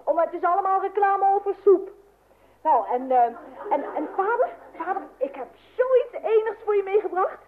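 An actress playing an excitable, worn-out young daughter with gushing exclamations, in an old-fashioned radio play. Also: female voice, extremely high pitch, normal speed. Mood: excited, gushing. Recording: tinny band-limited old radio recording.

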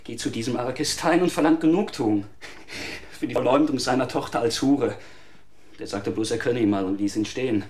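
A man's voice: medium pitch, a little mellow, lightly acted. A man playing an evasive, flustered witness. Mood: evasive, defensive. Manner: evasive, defensive, quickly flustered. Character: evasive, nervous man under interrogation. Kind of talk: radio drama acting.

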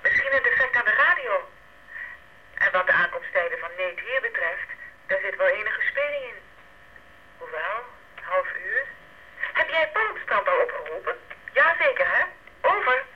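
A woman's voice: medium pitch, very animated, moderate pace, pauses often, a little hiss or hum, lightly acted. An actress playing a level-headed woman operating the radio at a mission post in a radio play. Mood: calm, reasoning. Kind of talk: Dutch radio drama acting. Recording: dull, lo-fi radio play recording.

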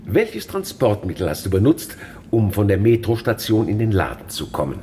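A man's voice: fairly low pitch, wildly swooping pitch, normal speed, mellow. A man playing a brutal, menacing police interrogator in a radio drama, smug, taunting and mock-courteous. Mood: accusing. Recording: faint noise and ambience behind.

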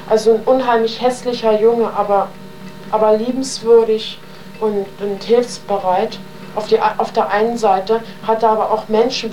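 A woman's voice: on the high side, a little mellow, a little hiss or hum, low-quality recording. A young woman recounts her life in rambling, slangy, spontaneous speech on an archival interview tape. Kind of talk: spontaneous, colloquial oral testimony.

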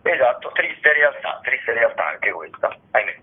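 A man giving an informal, wordy radio rundown of theatre listings, halting as he searches for words. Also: mid-range voice, very animated. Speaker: theatre radio show contributor. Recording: tinny phone line with noise.

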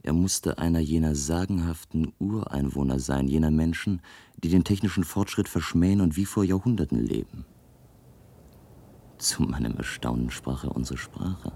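Very deep-voiced, reserved futuristic narrator. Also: male voice, normal speed, warm tone, husky, dry recording, full character voice. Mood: reflective. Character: curious, reserved husband from a future society, narrating. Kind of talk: German science-fiction radio drama narration and dialogue.

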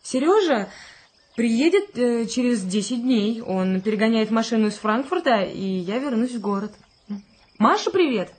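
An actress playing a wistful, romantic young pregnant woman in a radio play, chatty and slightly plaintive, with a slightly husky voice. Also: a little high, animated, normal speed. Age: young adult.

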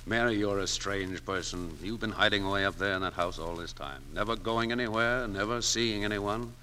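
An actor playing a blunt middle-aged banker, measured and pointed, in a 1940s radio drama. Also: male voice, lowish voice, bright, forceful tone. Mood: wistful, probing. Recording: noisy old 1940s radio broadcast.

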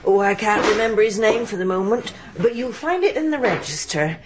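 A woman's voice: medium pitch, a little fast, natural voice. An actress playing a chatty, gossipy woman innkeeper in a radio drama. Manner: gossipy, homely talk. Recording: archive radio drama with steady hiss.

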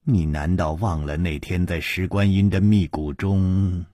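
A man's voice: low voice, steady tone, unhurried, mellow, dry recording, clean studio sound. A man voicing a cool, guarded, sardonic schemer in a wuxia audiobook. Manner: sneering, hesitant when cornered.

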